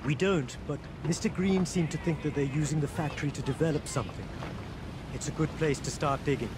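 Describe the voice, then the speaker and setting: Dignified prince, lightly high-pitched male actor. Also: fairly quick, noisy background, lightly acted. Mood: measured. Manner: formal, courteous. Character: genteel, dignified exiled Indian prince. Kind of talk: video game cutscene acting.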